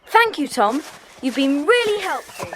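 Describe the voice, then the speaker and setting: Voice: sits high, sing-song, unhurried, fairly bright tone, slight background noise, heavily acted. A performer playing an earnest, polite British child club member in a children's audio drama.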